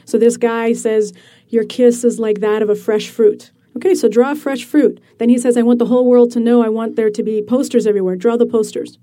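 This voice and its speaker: A woman author being interviewed, explaining her ideas in an animated way and laughing easily. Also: a little high, brisk. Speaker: author guest. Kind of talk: radio interview.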